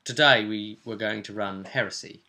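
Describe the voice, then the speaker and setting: A man's voice: on the low side, normal speed, pushed and bright. A man hosting as game master, casually recapping a tabletop role-play session in a rambling, descriptive way.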